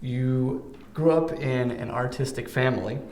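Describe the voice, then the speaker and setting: A man's voice: medium pitch, crowd noise. Male host, mid-range voice, upbeat prompt-reader, echoey room. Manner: upbeat, reads prompts, quick short reactions. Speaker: interview host. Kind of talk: casual interview.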